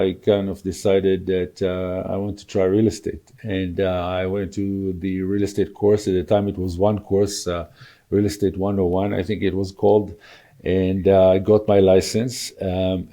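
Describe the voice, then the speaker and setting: Older man, dark mellow voice. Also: low voice. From Israel. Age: 60s. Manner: measured, halting with many fillers. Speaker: podcast guest, real estate broker. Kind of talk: casual interview talk.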